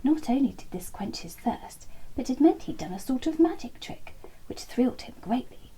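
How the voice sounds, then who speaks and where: A woman reading a bedtime story aloud in a soft, half-whispered, lilting way. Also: high voice, very animated, moderate pace, husky, low-quality recording.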